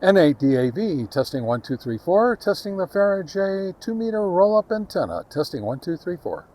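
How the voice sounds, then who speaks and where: Casual man, halting and conversational. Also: high voice, very animated, mellow, a little hiss or hum. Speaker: radio hobbyist reviewer and host. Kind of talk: casual on-location product test talk to camera. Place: outdoors, on a back deck and in a park.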